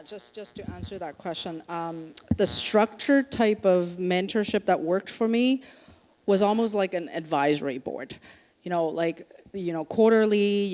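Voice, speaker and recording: A woman's voice: on the low side, darkish tone. A woman from Canada, a startup founder chatting on a conference panel and restarting her sentences as she goes. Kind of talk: casual panel talk. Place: conference stage. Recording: reverberant hall.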